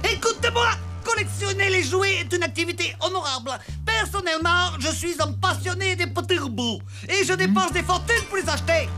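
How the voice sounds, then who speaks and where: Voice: extremely high pitch, a little fast, hardly any pauses. An actor voicing an irascible, pompous old mad-scientist villain with a foreign accent in a cartoon dub. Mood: indignant, pompous. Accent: put-on foreign accent. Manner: pompous, bossy, hesitant when bluffing. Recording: loud music underneath.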